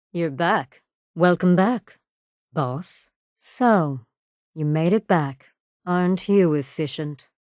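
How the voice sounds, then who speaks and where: An actress playing a cold, menacing raider gang boss in a video game, with a cool, deliberate, sardonic delivery. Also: female voice, on the low side, a little mellow, no room sound, studio quality, lightly acted. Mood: sardonic, commanding. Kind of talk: video game character dialogue.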